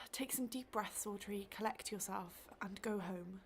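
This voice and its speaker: An actress playing a well-meaning, anxious middle-aged neighbour, chatty and self-questioning, in a radio play monologue. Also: female voice, mid-range voice, unhurried, a little hiss or hum, natural voice. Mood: anxious, guilty.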